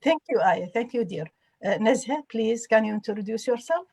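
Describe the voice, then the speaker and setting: A woman from Iraq, an adult education instructor, hosting a roundtable and calling on guests politely, with halting speech and many fillers. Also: a little high, expressive, darkish tone, husky, no room sound, clean studio sound. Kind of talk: formal roundtable introductions.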